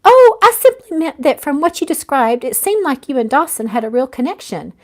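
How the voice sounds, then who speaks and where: A woman voicing a compassionate, inquisitive stranger who gently encourages confidences in a romance novel. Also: sits high, sing-song, fairly quick, pitched above the performer's own voice. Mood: sympathetic, curious. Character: kind, probing, compassionate stranger with past heartache.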